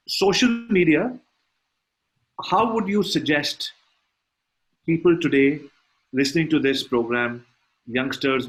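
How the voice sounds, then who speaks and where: A man's voice: mid-range voice, poor audio. A man hosting an interview who asks long, searching questions and strings thoughts together as he goes.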